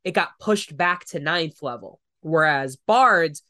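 A man's voice: on the high side, lively intonation, slow pace, pushed and bright, smooth, no echo, clean studio sound. A man co-hosting a tabletop gaming podcast, congested and a little nasal, explaining his views in a thoughtful, casual way. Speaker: podcast co-host. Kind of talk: casual chat.

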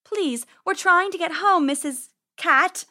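A woman acts a little girl rabbit from a children's storybook. The voice is very high and urgent. She is a brave, protective sister who likes to take charge. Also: expressive, brisk, a bit bright. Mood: anxious, polite.

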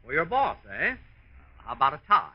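A man's voice: fairly high pitch, wildly swooping pitch, normal speed, breathy edge, exaggerated voice. An actor playing an eager, helpful American department store salesman in an old radio comedy, on a muffled recording. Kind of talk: 1940s-50s American radio comedy acting.

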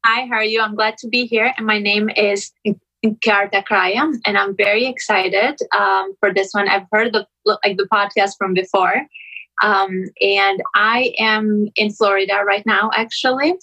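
A woman's voice: mid-range voice, fairly even intonation, measured pace, pushed and bright, muffled recording. A young woman from Albania chatting casually as a podcast guest, telling her own story in a conversational way. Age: young adult.

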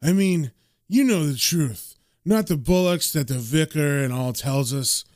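A man voicing a grieving, hot-tempered working-class British man with a blunt, rough manner. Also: on the high side, unhurried, darkish tone, no room sound, studio quality, close to an everyday voice. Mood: glum, subdued.

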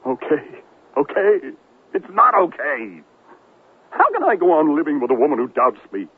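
Self-pitying rugged newsman, animated, tinny radio. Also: fairly high pitch, normal speed, slightly rough, dry recording, heavily acted. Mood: wounded, defensive. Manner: theatrical, wounded, swinging between bluster and self-pity. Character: rugged, self-pitying, vain male TV foreign correspondent. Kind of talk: 1970s radio drama acting. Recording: thin band-limited 1970s radio broadcast, faint noise.

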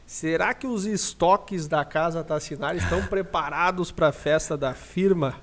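A Brazilian man with a fairly high voice hosts a live podcast. He chats casually, talking straight to his listeners as he takes their questions. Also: normal speed. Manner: informal, addresses listeners directly. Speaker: podcast host reading audience questions.

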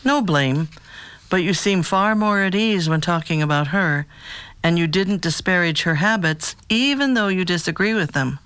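A woman playing a calm, patient therapist who asks probing questions in a measured, formal way in an audio drama. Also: lowish voice, clean tone, dry recording, lightly acted. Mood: calm, curious.

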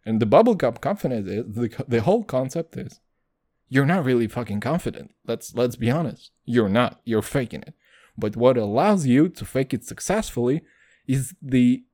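Animated, swearing male podcast host. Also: fairly high pitch. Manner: animated, profane, rambling, addresses listeners as dude. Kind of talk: casual solo podcast monologue.

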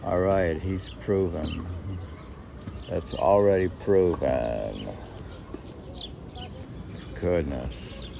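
A man's voice: deep voice, extremely slow, long pauses. A man reading his own verse and rambling in spontaneous commentary, musing aloud and answering his own questions. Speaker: poet and podcast host. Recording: clearly noisy background.

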